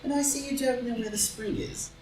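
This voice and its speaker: A man playing a witty, cool aristocratic gentleman, dry and commanding, in an audio drama reading recorded at some distance in a room. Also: very high-pitched, steady tone, a little fast, a little hiss or hum. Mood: coolly mocking. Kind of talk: live group reading of a stage play as audio drama. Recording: roomy, distant group reading with faint music.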